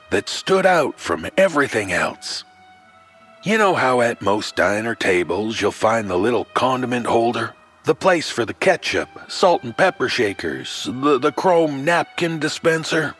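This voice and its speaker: Animated male storyteller over a musical score. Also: medium pitch. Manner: folksy, wry, addressing the listener directly. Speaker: horror anthology storyteller. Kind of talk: theatrical scripted horror storytelling narration.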